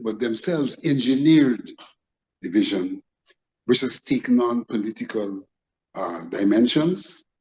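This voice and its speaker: A man with a Guyanese accent speaking as a panel guest, in formal, deliberate phrasing during a political discussion. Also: medium pitch, a little mellow, highs cut off.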